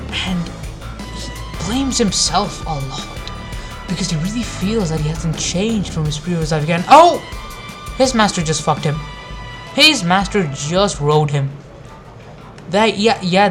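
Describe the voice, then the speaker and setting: Low-voiced woman in blunt, sarcastic commentary. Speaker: anime review video maker. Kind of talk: informal video rant commentary. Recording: music behind the voice. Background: background music.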